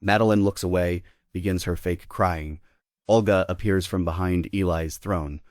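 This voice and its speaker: A man's voice: low voice, fairly even intonation, moderate pace, darkish tone, no echo, studio quality. A man giving scripted narration, reading the stage directions at a table read.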